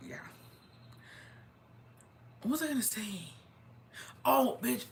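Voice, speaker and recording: Brief: deep-voiced woman livestreamer, bright, forward tone, slangy gossip chat. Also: slight background noise. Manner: chatty, slangy, mixes in Spanish phrases. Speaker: livestreamer reading viewer comments.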